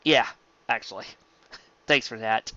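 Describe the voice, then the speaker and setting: A man's voice: mid-range voice, takes it slowly, bright, forceful tone, muffled recording. A man voicing a confident, wry male unicorn guard pony, casual and dryly joking, in a story reading.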